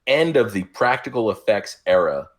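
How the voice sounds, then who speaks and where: Low-voiced man in his forties, podcaster. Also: very animated, moderate pace. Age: 40s. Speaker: podcast co-host. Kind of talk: casual podcast chat.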